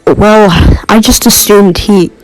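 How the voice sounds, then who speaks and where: A male storyteller with a notably high voice. He reads scripted first-person narration for a comic horror tale. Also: fairly even intonation, takes it slowly, dry recording, poor audio.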